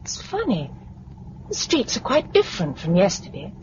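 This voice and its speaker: A woman's voice: mid-range voice, wildly swooping pitch, moderate pace. An actress playing a concerned, compassionate woman survivor in a 1950s radio drama, earnest and anxious. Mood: watchful. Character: Gisela, concerned, compassionate young female survivor. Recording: muffled, noisy vintage radio recording.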